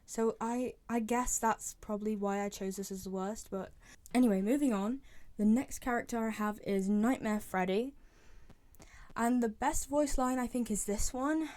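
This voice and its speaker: A woman's voice: on the high side, moderate pace, a little mellow. A young woman giving casual, opinionated fan commentary as a video creator, talking in a chatty, informal way. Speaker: video creator reviewing game voice lines.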